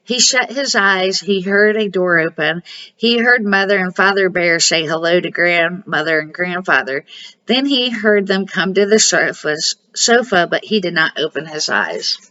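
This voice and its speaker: Older woman reading a storybook, bright-toned. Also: lowish voice. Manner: homespun amateur read-aloud, dramatic pauses. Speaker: storybook reader and series host. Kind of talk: storybook narration for children. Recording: dull, muffled home recording.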